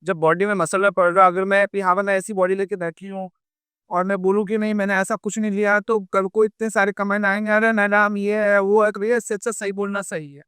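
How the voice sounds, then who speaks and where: A woman bodybuilder with a naturally deep, heavy voice, speaking bluntly and defiantly as an interview guest. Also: flat delivery, brisk, a bit bright. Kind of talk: candid interview talk.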